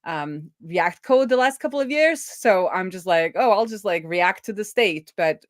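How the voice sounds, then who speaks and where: A woman's voice: medium pitch, animated, normal speed, no echo. Casual middle-aged woman, close dry sound. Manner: informal, thinking aloud. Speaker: live coding streamer and host. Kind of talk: casual livestream chat while coding.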